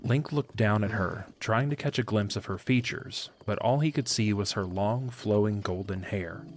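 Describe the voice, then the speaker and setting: A man narrating a scripted fantasy story in a measured, literary storytelling manner. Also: fairly low pitch. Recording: faint background ambience noise.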